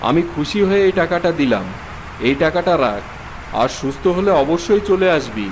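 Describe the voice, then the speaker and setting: A man's voice: fairly high pitch, very animated, moderate pace, slight background noise, rough recording. A man playing a kindly but authoritative fish-market boss in a cartoon folk tale. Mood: benevolent.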